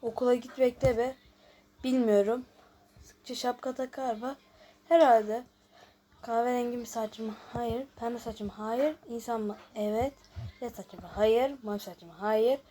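A woman's voice: a little high, steady tone, measured pace, dark tone. A woman hosting a casual gameplay video, chatting and thinking aloud as she reads out questions and answers them. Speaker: YouTube host.

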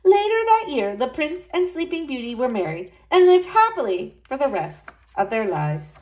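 Animated woman reading a children's storybook. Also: sits high, moderate pace. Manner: expressive, addressing young children. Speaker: school librarian reading to children. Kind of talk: children's storybook read-aloud.

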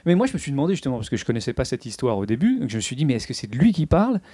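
A man co-hosting an interview, putting quick casual questions into a handheld mic. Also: medium pitch, big pitch swings, warm tone, breathy edge, no room sound. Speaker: radio host. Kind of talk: interview chat.

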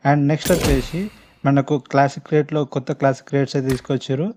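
A man's voice: medium pitch, little pitch movement, racing, darkish tone. A man with a Telangana Telugu accent giving casual, chatty gameplay commentary to his viewers, speaking informally and directly. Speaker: gaming video host. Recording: faint background noise, clicking sounds.

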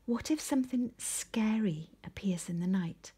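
Anxious little boy penguin for a storybook, read by a woman: eager, urgent, slightly husky delivery. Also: mid-range voice, unhurried, warm tone, pitched above the performer's own voice. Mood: anxious whisper. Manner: urgent, often whispering worried questions.

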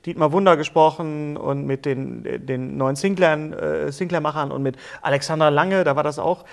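A middle-aged man hosting a casual talk interview, haltingly repeating words as he builds his questions. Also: fairly high pitch, gravelly. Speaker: talk show host, interviewer.

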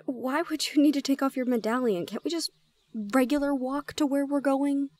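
A woman playing a shy, easily flustered young gorgon student in an audio roleplay, speaking in halting, exclamatory questions. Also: high voice, a little mellow, no room sound, natural voice. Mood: flustered, questioning. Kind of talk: audio roleplay drama acting.

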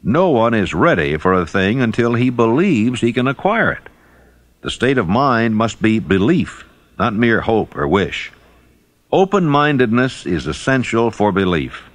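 Low-voiced, animated male audiobook narrator. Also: measured pace, no room sound.

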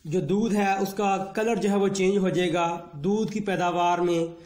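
A man, a veterinarian giving a measured instructional lecture that mixes with English technical terms. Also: high voice, steady tone, a little mellow, roomy sound. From Pakistan. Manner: slow, measured explanation mixing Urdu and English terms. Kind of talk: instructional lecture on livestock disease.

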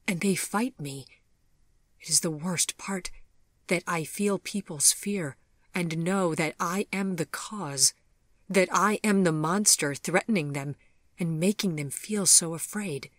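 A woman's voice: lowish voice, slow, a bit bright, no echo, clean studio sound. A woman voicing a remorseful, tormented male monster lover in an audiobook, pleading and intense. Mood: confessional.

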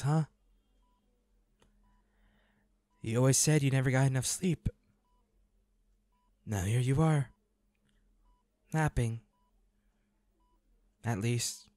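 A man playing a devoted, anguished boyfriend in an intimate audio roleplay, pleading and halting with emotion. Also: medium pitch, a little mellow, no room sound, natural voice. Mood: tender, sorrowful. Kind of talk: intimate dramatic audio roleplay, one-sided dialogue.

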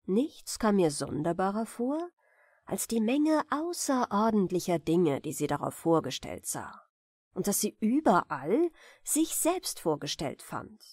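A woman narrator giving a measured, careful, expressive reading of a fairy tale as a bedtime audiobook. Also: medium pitch, breathy edge, no echo, studio quality.

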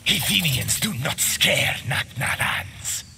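An actor voicing a haughty, grasping creature in a video game, with a rasping voice and a drawn-out sneer. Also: male voice, a little high, expressive, bright, forceful tone, full character voice. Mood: defiant. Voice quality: creaky, rasping. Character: sly, haughty, grasping male otherworldly creature. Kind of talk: video game villain acting. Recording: clear background noise.

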